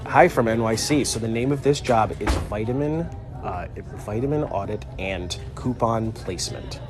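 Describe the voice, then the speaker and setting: Low-voiced man rambling casually in a shop. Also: normal speed. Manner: relaxed, rambling with many fillers. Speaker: co-host of a live stream. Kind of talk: casual live-stream how-to talk. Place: inside a pharmacy store. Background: store music.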